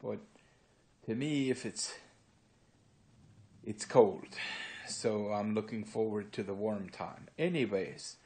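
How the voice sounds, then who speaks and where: A man's voice: on the low side, a little mellow. Rambling older man with Pennsylvania Dutch accent. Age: 60s. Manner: rambling, unhurried, many filler pauses. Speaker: vlogger. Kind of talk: casual walk-through monologue. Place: his own room indoors. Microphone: phone camera held by speaker.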